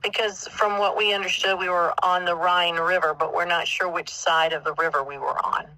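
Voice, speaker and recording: A woman's voice: on the low side, steady tone, normal speed, sharp tone, low-quality recording. A woman in her fifties from South Carolina with a Southern US accent, chatting casually in a family interview and thinking aloud as she reminisces. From South Carolina, United States. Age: 50s.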